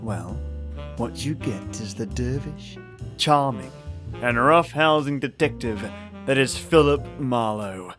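A man's voice: mid-range voice, animated, slow pace. Theatrical male podcast host over guitar music. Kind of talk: scripted podcast introduction. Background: plucked guitar music.

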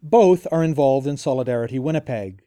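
A man hosting a radio interview, reading a measured scripted introduction. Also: medium pitch, dark tone, smooth, dry recording, clean studio sound. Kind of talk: scripted narration.

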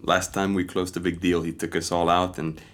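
An actor playing a melancholy, awkward businessman with a hesitant, halting delivery in an intimate audio drama. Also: deep voice, flat delivery, fairly bright tone. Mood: awkward, subdued. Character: melancholy, awkward businessman confiding his past. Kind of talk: intimate audio fiction acting. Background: music.

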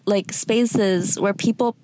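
A woman talking candidly and informally in a conversation, thinking aloud in halting, reflective phrases. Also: medium pitch, fairly even intonation, heavy background noise.

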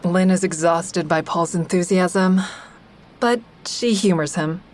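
A woman's voice: lowish voice, takes it slowly, a little mellow. A woman acting as the wry, observant first-person narrator of an audio drama, a member of a support group. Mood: wry, uneasy. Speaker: narrator and character.

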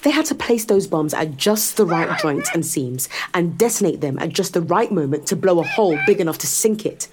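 A woman's voice: fairly low pitch, lively intonation, brisk, few pauses, noisy background, lightly acted. An actress playing a cold, menacing woman interrogator in a science fiction audio drama, controlled and taunting as she lays out her case. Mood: accusing, controlled. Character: cold, menacing woman interrogator in a near-apocalypse sci-fi world.

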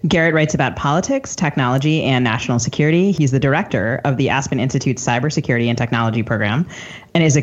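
A woman's voice: a bass voice, slightly rough. A woman hosting a podcast in a playful, casual style. Her voice is on slightly dull, band-limited audio as she banters and introduces guests. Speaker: podcast co-host and novelist.

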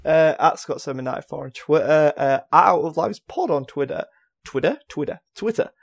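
A man chats informally as a podcast co-host. His voice is on the higher side, with plenty of creak running through it. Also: animated, fairly quick. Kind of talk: casual group podcast chat.

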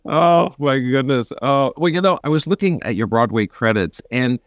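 A man's voice: medium pitch, animated, normal speed, no room sound, clean studio sound. A man interviewing a guest as a podcast host, chatty and sympathetic. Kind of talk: conversational interview.

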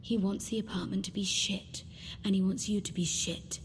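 A woman's voice: mid-range voice, steady tone, moderate pace, whispered, close to an everyday voice. Reverberant, commanding inner voice played by a woman. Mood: cool, insistent. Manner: terse, commanding, coolly insistent. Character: bossy, manipulative female inner voice guiding a young woman. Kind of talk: audio drama acting. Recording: long reverb, faint noise.

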